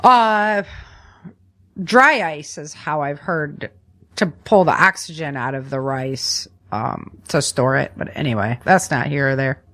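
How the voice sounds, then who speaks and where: A woman's voice: deep voice, a little slow, a bit bright. A woman co-hosting a podcast, chatting informally as she explains practical tips. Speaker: podcast co-host.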